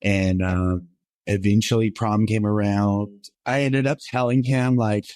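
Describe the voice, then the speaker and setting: A man's voice: fairly even intonation, measured pace, darkish tone, clean tone, no room sound, studio quality. Earnest young man telling his story. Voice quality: somewhat high voice for a man. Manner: rambling, filler-heavy, earnest. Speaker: podcast guest. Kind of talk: personal storytelling interview.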